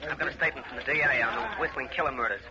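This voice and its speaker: A man playing a brisk, jaded newspaper reporter in a 1950s radio drama, rattling lines off offhandedly. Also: mid-range voice, very noisy, close to an everyday voice. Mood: brisk, offhand. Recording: muffled old radio recording, background chatter.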